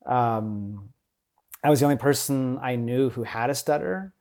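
A man speaks as a podcast guest in a casual, thoughtful way. He often repeats words and sounds as he stutters, and his voice sits in an ordinary middle pitch for a man. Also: a little slow, darkish tone. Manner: stutters, with repetitions and fillers. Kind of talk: casual interview.

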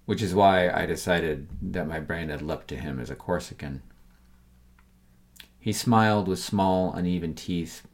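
A man reading a scripted first-person crime story as the narrator of an audio fiction serial. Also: extremely low pitch.

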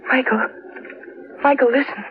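An actress playing a frightened wife in a deserted city, halting and urgent, in 1940s radio drama style. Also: female voice, sits high, fairly even intonation, lightly acted. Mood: dread. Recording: noisy, muffled old radio recording.

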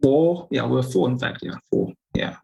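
Gravelly young podcast host. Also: male voice, mid-range voice, animated, normal speed, mellow, dull audio. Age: 20s. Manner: loose, rambling questions. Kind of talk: podcast interview.

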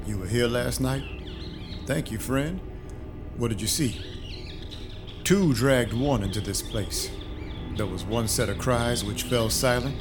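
A man's voice: mid-range voice, close to an everyday voice. An actor playing a wry, hard-boiled vampire detective in an audio drama, dry, matter-of-fact and clipped in his delivery. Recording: music under the voice. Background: music.

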